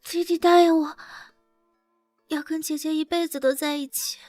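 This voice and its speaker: Muddled young woman played by an actress, clingy and childlike, extremely high voice, dry close sound, costume animation. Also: steady tone, normal speed, a little mellow, dry recording, faint music behind, close to an everyday voice. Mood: tearful pleading. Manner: childlike, pleading. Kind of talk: dubbed animated costume drama.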